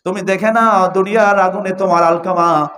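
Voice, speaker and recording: A man's voice: high-pitched, fairly even intonation, moderate pace, fairly bright tone, voice pushed down. A man preaching, speaking as a revered religious leader who admonishes solemnly and gives commands. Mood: commanding.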